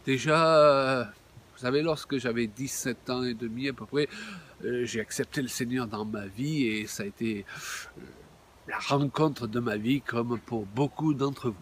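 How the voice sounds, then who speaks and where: Animated man in his sixties preaching. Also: medium pitch, unhurried, a little hiss or hum. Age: 60s. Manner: animated, exclaiming, with many fillers. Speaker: pastor. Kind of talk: video sermon.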